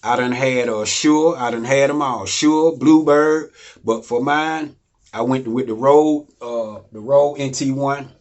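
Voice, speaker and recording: Deep-voiced man rambling about studio gear. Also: unhurried, darkish tone, dull audio. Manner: loose, rambling, repeats phrases, asks "you know what I mean?". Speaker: home studio instructor. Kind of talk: casual how-to talk. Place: home studio room.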